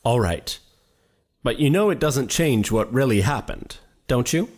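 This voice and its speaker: An actor playing a measured, formal director of a reenactment in an audio drama, questioning carefully. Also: mid-range voice, lively intonation, a little mellow. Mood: cautious.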